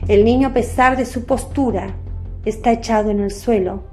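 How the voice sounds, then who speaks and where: A woman's voice: a little high, moderate pace, dark tone, soft background music. A woman narrating a scripted religious radio drama as a storyteller.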